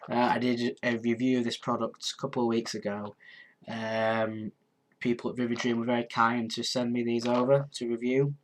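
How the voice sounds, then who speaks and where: A man, chatting casually on a live stream and answering comments. His nose is blocked and sniffly, and he rambles, pausing on ums and restarting his sentences. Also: medium pitch, little pitch movement, a little hiss or hum. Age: 20s. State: congested, sniffly.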